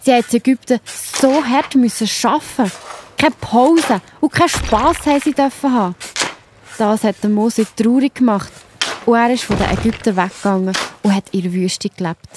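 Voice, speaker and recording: A woman's voice: on the high side, normal speed, background noise. A woman with a Swiss accent narrating a children's story in a chatty way, speaking directly to her listeners.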